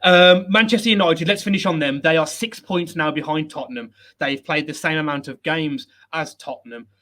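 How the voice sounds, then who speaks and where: Energetic guy hosting a footy chat, jokey and self-deprecating, a bit high pitched, no headset just the laptop. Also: moderate pace. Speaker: host. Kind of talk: casual football chat. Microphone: laptop setup without headset.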